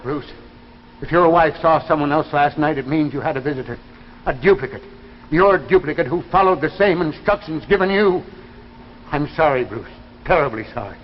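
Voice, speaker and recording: Male actor as grave, regretful conspirator. Higher-than-average voice, formal, vintage muffled broadcast. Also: animated, moderate pace, slight background noise, lightly acted. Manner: solemn, formal. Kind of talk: 1950s science fiction anthology drama acting.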